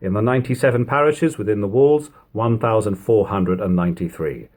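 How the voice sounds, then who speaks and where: A man reading an old literary text aloud as narration, in measured, emphatic phrasing. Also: fairly low pitch, darkish tone. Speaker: reader of a classic book. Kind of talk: audiobook-style literary narration.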